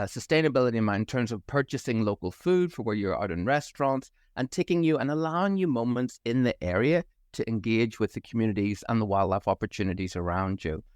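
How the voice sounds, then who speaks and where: A middle-aged man with a Northern Irish accent worn down by years abroad, chatting enthusiastically as an interview guest. His pitch sits mid-range for a man. The sound is dry and close, with no room in it. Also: no echo. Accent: Northern Irish, softened by years abroad. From Northern Ireland. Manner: enthusiastic, fluent with some fillers. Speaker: travel company managing director, guest. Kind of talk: conversational podcast interview.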